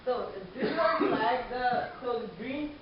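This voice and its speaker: A teenage exchange student from Pakistan giving a casual, hesitant presentation to a community audience, with many fillers and restarts. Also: male voice, very high voice, unhurried. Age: teens. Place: church hall. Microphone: distant room mic. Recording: echoey muffled hall recording, far off, noisy.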